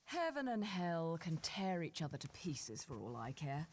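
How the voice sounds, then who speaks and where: Game heroine: British witch, lowish sultry voice, swooping intonation, haughty and languid. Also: sing-song, moderate pace, a little mellow, slight background noise. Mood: aloof. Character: haughty, sultry adult witch heroine, cool and self-assured. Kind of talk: video game cutscene acting.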